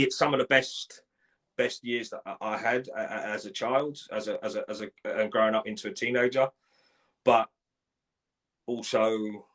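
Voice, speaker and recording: A man in his forties from Ireland, telling his life story in a live interview, halting, with many restarts and repeated words. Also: fairly low pitch, a bit bright. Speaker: guest.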